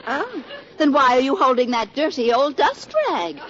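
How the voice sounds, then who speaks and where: A woman's voice: high-pitched, animated, moderate pace, crowd noise. An actress playing a haughty, meddling older mother-in-law in a 1940s radio sitcom, singsong and falsely sweet as she cuts. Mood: condescending. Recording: muffled, noisy radio recording before audience.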